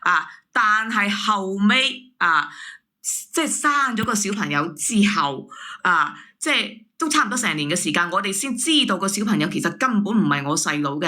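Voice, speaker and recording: A woman caller with a Hong Kong Cantonese accent presses her complaint in a sharp, bright voice at a medium pitch. She is indignant and insistent, arguing heatedly and accusingly. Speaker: caller airing a family dispute. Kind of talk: heated argument.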